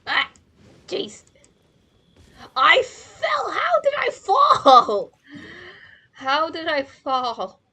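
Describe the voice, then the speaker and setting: A woman's voice: very high voice, huge pitch swings, extremely slow, pauses often, sharp tone, raised voice. A woman doing casual live gameplay commentary, talking to herself in a playful, rambling way. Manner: playful, rambling self-talk. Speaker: game streamer.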